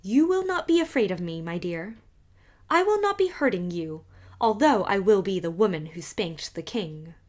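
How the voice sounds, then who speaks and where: A proud old widow , as a woman puts her on, speaks with a rich, powerful voice and a lilting Highland accent. Her regal, formal phrasing carries a faintly strange air, and her delivery swings widely in pitch and lands louder than the storytelling around it. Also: sing-song, moderate pace, close to an everyday voice. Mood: regal, insistent. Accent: Scottish Highland. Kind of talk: audiobook character reading.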